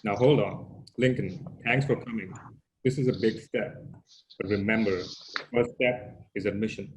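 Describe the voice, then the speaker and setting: Noisy audio, lowish male voice, calm probing support group leader, drama read, acted by a man. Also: a little slow, lightly acted. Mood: patient, probing. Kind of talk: screenplay table read, naturalistic acting.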